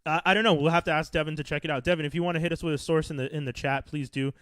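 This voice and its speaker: Man, high-pitched, loud, emphatic, slangy, dry close sound. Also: fairly even intonation, very fast, smooth, dry recording. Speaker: podcast co-host. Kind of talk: casual chat.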